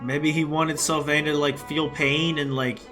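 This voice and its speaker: A woman's voice: low-pitched, flat delivery, a little slow. A woman giving casual gameplay commentary in a blunt, slangy, offhand way. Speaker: gamer commenting on own gameplay. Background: game music.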